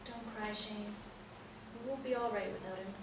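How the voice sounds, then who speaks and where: An actress playing a gentle mother in a stage play reading, tender and reassuring as she comforts her son. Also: mid-range voice, fairly even intonation, normal speed, lightly acted. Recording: roomy, distant, noisy hall-like pickup.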